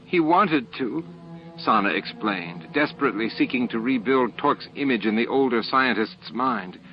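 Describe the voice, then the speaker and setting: A man's voice: mid-range voice, moderate pace. A man reading an audiobook as narrator, in a scripted dramatic storytelling style. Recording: dull lo-fi audio with music.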